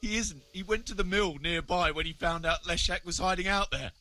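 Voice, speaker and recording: An actor playing a frightened, guilty labourer who stammers and pleads haltingly in a medieval video game. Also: male voice, high voice, pushed and bright. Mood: terrified, pleading. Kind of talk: video game drama acting.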